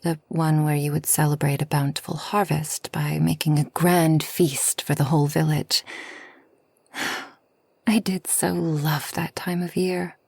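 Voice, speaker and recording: A woman playing a kind, long-lived forest witch in a soft ASMR roleplay, gentle and unhurried, often near a whisper. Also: sits low, husky, no echo, exaggerated voice. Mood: wistful, gentle. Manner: gentle, unhurried, soothing, slightly formal speech.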